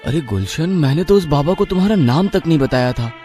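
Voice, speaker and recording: A man acts out a poor, kind-hearted villager for a cartoon. His voice is fairly high for a man and soft-toned, with an earnest, expressive delivery over music. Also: brisk, natural voice. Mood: puzzled. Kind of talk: Hindi moral cartoon dubbing.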